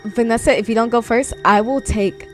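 A woman playing a bossy, excitable young woman who panics easily in an amateur horror roleplay. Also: on the high side, normal speed, natural voice. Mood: pushy. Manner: pushy, repetitive, excitable. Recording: music behind the voice.

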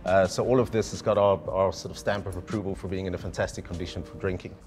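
Lowish voiced man, keen and explaining stuff, chatty wine guy, faint music under. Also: steady tone, fast, darkish tone. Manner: enthusiastic and explanatory. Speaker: wine specialist. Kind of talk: conversational presentation. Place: decorated indoor room with a laid table, standing.

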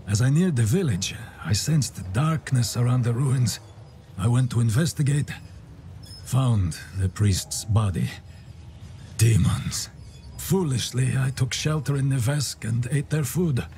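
A man's voice: mid-range voice, dark tone, a little hiss or hum. A man playing a grim, terse wandering warrior in a dramatic video game cutscene. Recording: music behind the voice.

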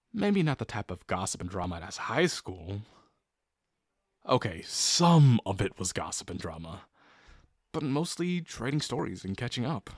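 A man's voice: fairly low pitch, husky, no room sound, clean studio sound, full character voice. A man playing a shy, sincere young guy in love with his best friend, speaking hesitantly and intimately to the listener in an audio roleplay. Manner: hesitant, halting, full of pauses and sighs. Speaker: voice actor.

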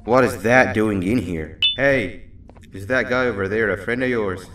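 An actor playing a weary, sardonic yet loyal devil monster in a video game, wry and conversational. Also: male voice, fairly low pitch, normal speed, close to an everyday voice. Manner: wry, conversational, exclamatory when pressed. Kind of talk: video game character acting. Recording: echoey, distant, music behind.

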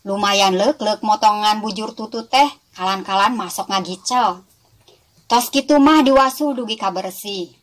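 A woman's voice: mid-range voice, measured pace. A woman with a West Java Sundanese accent narrating a scripted children's story expressively, as a storyteller addressing young listeners. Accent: Sundanese-speaking West Java.

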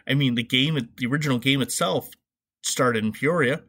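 A man's voice: medium pitch, normal speed, a bit bright. A middle-aged man hosting a casual, comedic movie review podcast in an opinionated, wry, informal way. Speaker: podcast host.

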